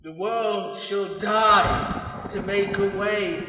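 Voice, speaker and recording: A man's voice: high-pitched, fairly even intonation. Man as an echoing, fanatical doom prophet. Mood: ominous, exultant. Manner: slow, portentous, declaiming. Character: fanatical male Chaos prophet of doom, grandiose. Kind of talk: dramatic fantasy monologue. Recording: distant echoing voice, clearly noisy background.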